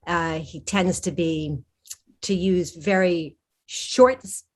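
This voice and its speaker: A woman speaking as the guest author in a conversational interview, her voice sitting a little low. She thinks aloud as she talks, circling back and restarting her sentences before landing on a point. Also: takes it slowly. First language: English. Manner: thoughtful, with frequent restarts and fillers. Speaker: historical fiction author, guest.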